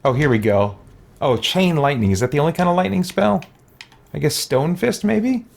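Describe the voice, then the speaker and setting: A man's voice: a little high, expressive, moderate pace, creaky, darkish tone. A man giving casual let's play commentary, thinking aloud and musing to himself as he goes. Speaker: gaming video host.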